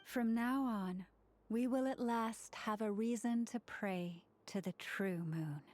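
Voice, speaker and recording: A woman's voice: on the high side, slow, darkish tone, slight background noise. A woman playing a devout moon priestess in a game, speaking with solemn reverence. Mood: reverent. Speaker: game character voice actor. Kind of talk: solemn ritual incantation. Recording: music behind the voice.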